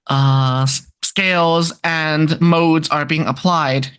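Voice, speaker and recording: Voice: mid-range voice, steady tone, slow, fairly bright tone, clean tone. A speaker in an informal scholarly discussion, talking hesitantly and often searching for words. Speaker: textbook author and music researcher.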